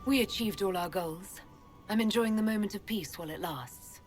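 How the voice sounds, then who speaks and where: Game lead: composed female leader, woman acting, courteous, natural delivery, medium pitch, music underneath. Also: lightly acted. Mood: calm, courteous. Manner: measured, polite. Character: poised, courteous heroine leading an inquisition.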